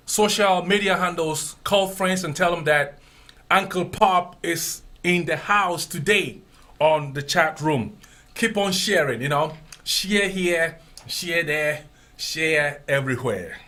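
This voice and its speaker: A man with a Ghanaian accent hosting a casual radio chat show, animated and prone to repeating himself. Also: fairly high pitch. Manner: animated, repeats phrases, drawn-out spelling of contacts. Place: radio studio.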